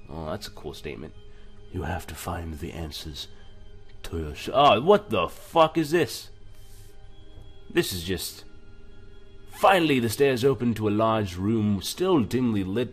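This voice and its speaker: A man with a low voice plays through a game as host. He reads the narration aloud and slips into casual, joking commentary, with music playing behind him. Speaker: let's play host.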